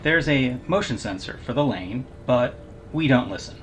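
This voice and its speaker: An actor playing a calm, sympathetic technician who briefs a client in a measured, procedural way in an audio drama. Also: medium pitch, slight background noise, close to an everyday voice. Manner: measured, procedural, gently reassuring.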